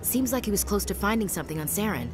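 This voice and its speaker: A woman's voice: medium pitch, sing-song, fast, close to an everyday voice. A woman playing an attentive, earnest young soldier in a video game. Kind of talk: video game cutscene acting. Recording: music behind game dialogue.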